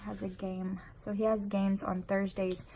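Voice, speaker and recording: Sniffly mom vlogger rambling on at home, kinda gravelly voice, lots of um and like. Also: female voice, mid-range voice, level tone, measured pace, noisy background. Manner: rambling and chatty, full of fillers. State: sniffly, runny nose. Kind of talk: casual chat while decorating a planner.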